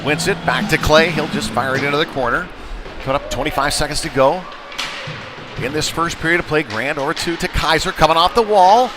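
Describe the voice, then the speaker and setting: A man's voice: fairly high pitch, fairly quick, music in the background. A man doing casual, conversational live play-by-play commentary of a youth hockey game from a rink, on a headset. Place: chilly ice rink.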